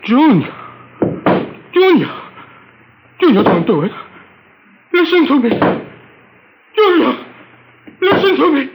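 An actor playing a distraught, pleading professor in a melodramatic old-time radio play. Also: male voice, extremely high pitch, very animated, slow pace, gravelly, long pauses, noisy background, heavily acted. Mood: terrified pleading. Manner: agitated, pleading, dramatic.

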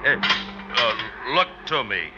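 An actor playing a slow-witted, domineering husband in an old-time radio drama, speaking in halting, repeated simple phrases. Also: male voice, mid-range voice, sing-song. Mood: menacing, coaxing. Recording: dull, noisy old radio recording.

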